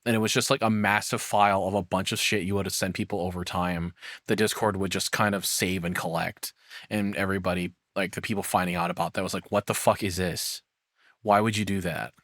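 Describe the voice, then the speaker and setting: A man's voice: low-pitched, fairly even intonation, a little fast, fairly bright tone, no room sound, studio quality. A man giving casual, off-the-cuff reaction commentary as a streamer, informal and given to swearing.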